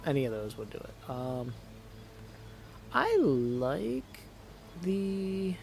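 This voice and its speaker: A man's voice: fairly high pitch, very animated, very slow, vocal fry, a little mellow, slight background noise. A man casually commentating on a game as a livestreamer, thinking aloud and reading bits of on-screen text.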